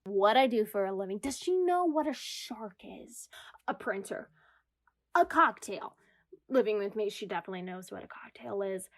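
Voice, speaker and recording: A woman's voice: medium pitch, lively intonation, normal speed, natural voice. A woman acting an anxious, self-deprecating single New Yorker in a comedic audio drama monologue about herself. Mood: agitated. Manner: self-deprecating, wry, confessional. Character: anxious single New York woman spiraling into existential crisis. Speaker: lead actor in radio comedy.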